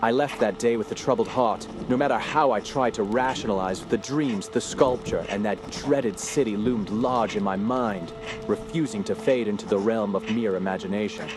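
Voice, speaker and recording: Male actor as troubled scholar, lowish voice, formal, measured, radio play narrator, music behind. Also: close to an everyday voice. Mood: grave, troubled. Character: earnest, troubled male scholar investigating a sinister cult. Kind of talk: radio drama narration and dialogue.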